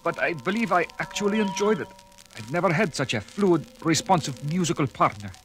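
Earnest young lieutenant, high lively voice, loud music all over. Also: male voice, a little fast. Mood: weary. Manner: earnest, increasingly strained and breathless. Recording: loud music score behind voice.

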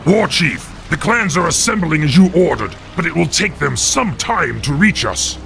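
An actor voicing a loyal orc grunt in a fantasy game, gruff and dutiful as he reports to his chief. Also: fairly high pitch, lively intonation, normal speed, fairly bright tone, faint music behind.

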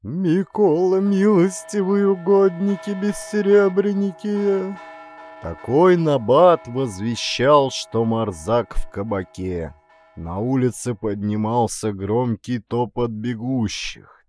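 Slow, low-voiced male audiobook narrator. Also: soft background music. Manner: suspenseful, expressive storytelling. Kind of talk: dramatic literary narration of a story.

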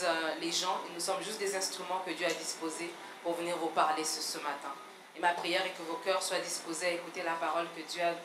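A woman, the pastor's wife, giving an earnest, prayerful greeting to the congregation on a handheld mic. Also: on the low side. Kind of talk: church greeting. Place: large church hall, indoors. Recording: echoey church hall, noisy.

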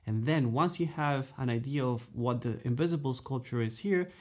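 A man in his thirties teaching saxophone straight to camera in a dark studio, animated and gesturing, checking in with "okay?" and "you know" between his points. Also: mid-range voice, moderate pace. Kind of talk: direct-to-camera music lesson. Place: dark studio room, indoors.

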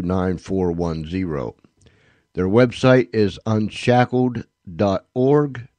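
A male radio host with a very low voice speaks slowly and deliberately. He delivers sign-off announcements in short phrases set apart by pauses. The sound is close and dry. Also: warm tone, dry recording.

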